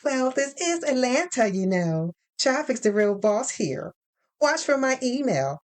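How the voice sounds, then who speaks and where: Woman acting high-pitched, chirpy female office recruiter. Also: big pitch swings, unhurried, a little mellow. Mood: cheerful, chatty. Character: Lynn, bubbly upbeat female HR recruiter on the phone. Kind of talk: audio fiction acting.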